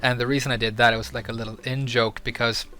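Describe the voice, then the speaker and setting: A man's voice: mid-range voice, flat delivery, quick pace, sharp tone. A young man from Sweden talking candidly to camera as a video creator, earnest and a little hesitant with fillers. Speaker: YouTuber. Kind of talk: casual talk to camera.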